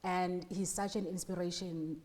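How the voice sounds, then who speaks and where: A woman's voice: on the low side, level tone, moderate pace, a little mellow. Chatty South African woman hosting. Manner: chatty, teasing, laughs often. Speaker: talk show host. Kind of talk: casual conversational interview.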